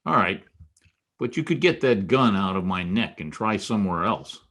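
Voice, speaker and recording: An actor playing a wisecracking, world-weary private detective in a noir radio drama, wry and sardonic. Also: male voice, fairly low pitch, animated, normal speed, a bit bright. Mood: tough, defiant. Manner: wry, sardonic, clipped tough talk. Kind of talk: old-time radio noir acting and first-person narration.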